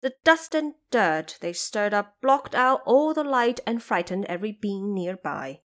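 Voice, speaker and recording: A woman's voice: medium pitch, expressive, measured pace, fairly bright tone. A woman narrating a fantasy audio drama in a dramatic, scripted storytelling style.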